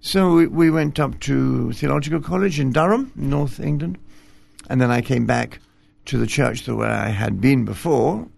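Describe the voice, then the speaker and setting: An older man from Scotland, a retired bishop, talking gently and self-deprecatingly as a studio interview guest, with fillers and pauses. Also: mid-range voice, vocal fry, no echo. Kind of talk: relaxed interview conversation. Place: television studio.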